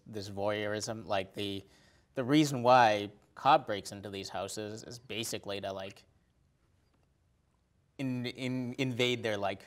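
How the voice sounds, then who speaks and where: A man talking casually about a film as a podcast guest, haltingly and with many uses of "like". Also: fairly low pitch. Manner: hesitant, halting, often repeats "like".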